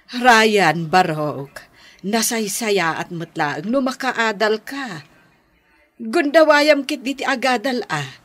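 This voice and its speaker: Animated, admonishing household caretaker. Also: female voice, a little high, heavily acted. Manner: emphatic, scolding. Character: concerned, admonishing caretaker of a spoiled boy. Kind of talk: radio drama acting.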